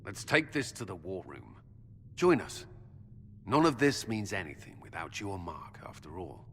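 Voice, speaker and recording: A man playing a stern, exasperated military commander in a fantasy game, forceful and argumentative. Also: sits low, sing-song, measured pace, big-room sound, a little hiss or hum, full character voice. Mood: resolute. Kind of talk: dramatic fantasy game dialogue.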